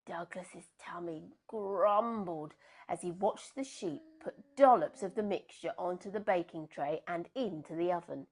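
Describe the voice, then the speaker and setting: A woman reading a children's storybook aloud in an expressive, unhurried and dramatic way. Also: on the low side, breathy edge.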